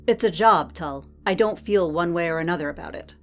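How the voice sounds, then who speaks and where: A woman's voice: on the low side, a little fast, close to an everyday voice. A woman playing a guarded, weary arena worker in an audio drama, blunt and dry as she presses for answers. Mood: guarded, annoyed. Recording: music under the voice.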